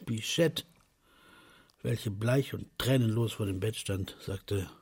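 A man's voice: on the low side, slow pace, over music. A man reading scripted literary narration in a radio play.

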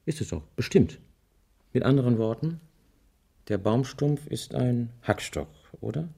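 An actor playing a wry, easygoing man in a German radio crime drama, thinking aloud methodically in a dry, deliberate way. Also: medium pitch, expressive, gravelly, warm tone, slightly rough, exaggerated voice. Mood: pondering.

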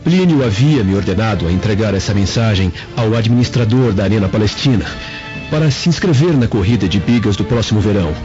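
A man's voice: fairly low pitch, animated, fairly quick, a little mellow, natural voice. A man playing an earnest young slave in a radio drama, explaining himself carefully and deferentially. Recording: dull lo-fi audio with music.

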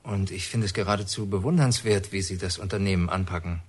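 A visiting psychologist in a radio play. His voice is low and husky. He speaks with formal courtesy, ingratiating and a little hesitant. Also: fast. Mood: polite, flattering. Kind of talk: German radio drama acting.

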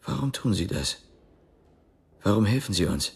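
An actor in a radio drama playing a wary, exhausted man on the run, with a husky, gravelly voice. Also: fairly low pitch, sing-song, normal speed, full character voice. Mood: suspicious, shaken.

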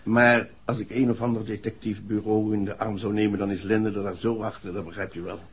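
Deep, husky, formal gentleman. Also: little pitch movement, brisk. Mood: insistent. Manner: formal, emphatic. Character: proud, uneasy husband, formal, blunt, insistent. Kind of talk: 1960s Dutch radio play acting. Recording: dull old radio recording.

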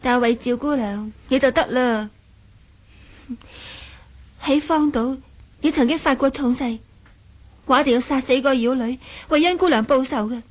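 A young martial-arts heroine in a Cantonese radio drama, with a very high voice and Hong Kong accent. She is wounded and jealous, speaking to her fiancé with dramatic reproach. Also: normal speed, long pauses. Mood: jealous, reproachful.

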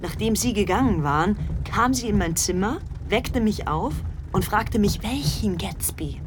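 An actress playing a cool young society woman in a radio play, confiding secrets in a measured, hushed way. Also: medium pitch, lively intonation, background noise, lightly acted.

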